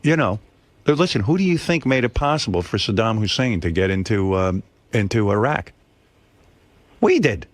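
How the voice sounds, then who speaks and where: Profane, animated male radio host. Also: fairly low pitch, normal speed, no room sound. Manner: profane, emphatic ranting. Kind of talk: casual radio talk. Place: radio studio. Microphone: studio microphone.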